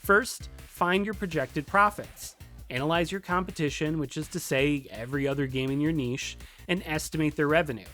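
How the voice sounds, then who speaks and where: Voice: medium pitch, normal speed, fairly bright tone. A presenter narrating a scripted tutorial in a conversational, lightly playful way. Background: music.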